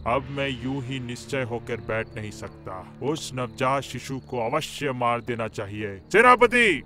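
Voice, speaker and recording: A man playing a cruel, suspicious king in a cartoon Bible story, speaking in a commanding, deliberate way. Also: medium pitch, animated. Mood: angry, menacing. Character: cruel, suspicious tyrant king fearing for his throne. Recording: loud background music.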